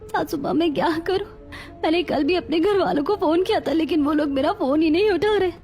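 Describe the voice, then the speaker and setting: Woman as young daughter-in-law, very high voice, submissive, pleading, tormented, story drama. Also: a little fast, darkish tone, soft background music.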